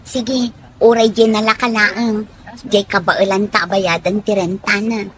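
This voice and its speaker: A woman's voice: mid-range voice, fairly even intonation, measured pace, a bit bright, slight background noise, natural voice. A woman playing a bold, watchful young friend in an audio drama, frank and nosy in manner. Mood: practical.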